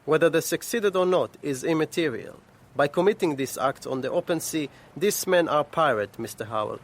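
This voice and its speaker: A man's voice: on the high side, lively intonation, moderate pace. A man playing a formal, firm young Israeli naval lieutenant in a radio drama, speaking precisely and officially. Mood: formal, probing. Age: young adult.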